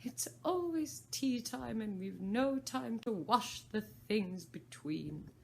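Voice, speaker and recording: A woman's voice: fairly high pitch, animated, slow pace, vocal fry, slight background noise, pitched above the performer's own voice, heavily acted. A woman voicing an eccentric, quarrelsome man with a mournful, sighing way of talking. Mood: weary. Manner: mournful, then smugly argumentative.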